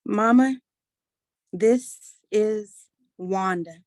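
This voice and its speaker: A woman acting a gentle, pleading grown daughter in a staged reading of a play. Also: medium pitch, drawn-out words, dry recording. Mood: nervous, pleading.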